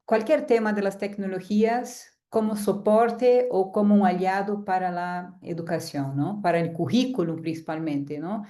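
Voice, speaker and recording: A woman's voice: medium pitch, mellow. Woman lecturing online. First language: Portuguese. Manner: chatty, often adds a tag "¿no?". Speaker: guest lecturer. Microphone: video call.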